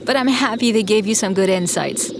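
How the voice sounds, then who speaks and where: An actress playing a patient, frank woman engineer in an audio drama, calm and reassuring with dry humour. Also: mid-range voice, fairly quick, background noise, lightly acted. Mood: calm, encouraging. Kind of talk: scripted audio drama acting.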